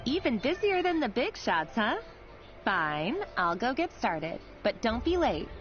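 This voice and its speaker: An affectionate young sweetheart in a video game. Her voice is fairly high and very lively, coaxing and teasing. Music plays under the slightly muffled audio. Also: a little slow. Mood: playful, teasing. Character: tender, playful young woman, devoted sweetheart.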